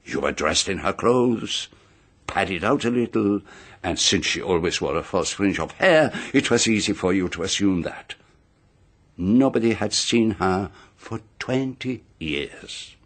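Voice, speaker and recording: An actor playing a shrewd, composed detective in a radio drama, deliberate and precise, with dramatic pauses. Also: male voice, deep voice, animated, a bit bright, exaggerated voice. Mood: measured, accusing. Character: shrewd, composed male detective laying out a murder case.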